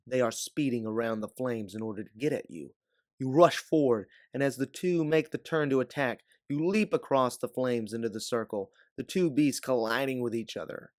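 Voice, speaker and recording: A man reading scripted second-person story narration as an audiobook narrator, in a dramatic, deliberate way. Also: medium pitch, no room sound, studio quality.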